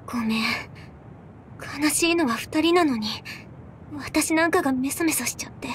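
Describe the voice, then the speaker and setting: An actress voicing a gentle, tender-hearted young woman comrade in a Japanese anime game. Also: high-pitched, normal speed, slight background noise, close to an everyday voice. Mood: tearful apology.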